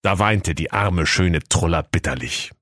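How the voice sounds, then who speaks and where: A man's voice: low voice, unhurried, a bit bright, dry recording, clean studio sound. A man narrating a comic fairy tale as storyteller in a mock-solemn manner.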